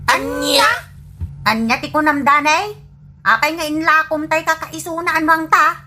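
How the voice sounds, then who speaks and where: An exasperated older wife in an audio comedy, acted by a woman. Her voice is high and bright. She scolds her husband sharply and answers him with disbelief. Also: moderate pace, a little hiss or hum. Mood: scolding. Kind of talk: comedy radio drama acting.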